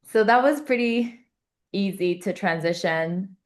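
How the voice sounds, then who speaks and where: A woman's voice: mid-range voice, a little slow. A young woman in her twenties whose first language is Albanian, chatting as a podcast guest, laughing often and using lots of "like" and "um". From Kosovo. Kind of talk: casual interview chat.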